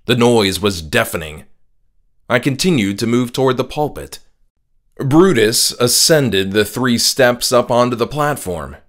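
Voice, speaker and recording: Slow, dramatic male horror narrator. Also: on the low side, a bit bright. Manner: measured, dramatic storytelling. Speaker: author reading his own novella. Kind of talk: scripted horror audiobook narration.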